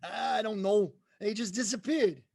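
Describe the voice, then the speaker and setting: A man acts a suspicious working-class cabbie in a 1940s-style radio play. He talks in plain slang, with a notably high voice. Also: unhurried, darkish tone. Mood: bewildered. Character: suspicious, working-class male cab driver. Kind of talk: 1940s radio drama acting.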